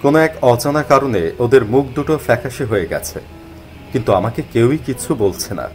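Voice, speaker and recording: A man's voice: mid-range voice, animated, fairly quick, soft background music. A man narrating a scripted mystery story in the first person.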